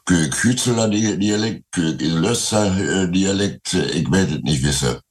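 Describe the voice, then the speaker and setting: A man in his eighties with a Westphalian Low German accent, speaking hesitantly in an interview, with frequent pauses. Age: 80s. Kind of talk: casual interview.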